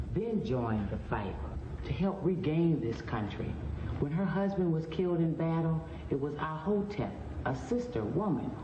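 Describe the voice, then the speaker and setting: A man of middling pitch delivers a history lecture in emphatic, storytelling phrases. The sound is muffled and echoey, with clear background noise.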